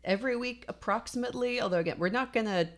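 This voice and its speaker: A woman co-hosting a podcast in a relaxed, chatty way, often agreeing with her co-host. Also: a little high, fast talker. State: recovering from lost voice. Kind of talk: casual chat.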